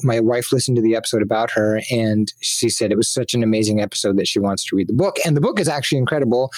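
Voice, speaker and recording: A man's voice: on the low side, fast, no echo. A man co-hosting a podcast, chatting casually, joking and asking lots of questions. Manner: animated, joking, asks many questions. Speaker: podcast co-host.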